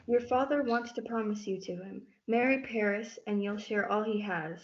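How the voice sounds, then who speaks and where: A woman's voice: mid-range voice, normal speed, close to an everyday voice. A female student performer playing a proper noblewoman and persuasive mother in a reader's theatre play. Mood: formal, persuasive. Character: proper noble mother urging her young daughter to marry. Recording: muffled lo-fi audio, noticeable noise.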